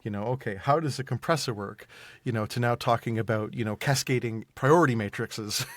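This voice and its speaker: A man's voice: medium pitch, a little fast, a bit bright. A man chatting casually as a podcast guest, often repeating and rephrasing his words as he explains. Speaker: podcast guest, audio drama creator and voice actor. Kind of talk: casual interview conversation.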